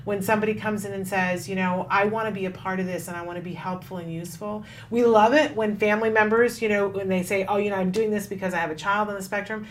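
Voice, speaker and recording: A woman hosting an interview, speaking in an emphatic, expressive way. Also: medium pitch, quick pace, hardly any pauses, a little hiss or hum. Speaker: host.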